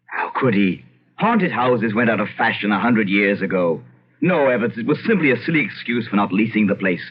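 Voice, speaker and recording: A blustery, swooping gentleman landowner. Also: on the low side, very animated, fairly quick, slightly rough. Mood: indignant. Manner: pompous, emphatic, self-interrupting. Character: blustery Irish gentleman landowner, imperious, skeptical yet uneasy. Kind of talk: old-time radio drama acting. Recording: muffled, hissy old radio recording.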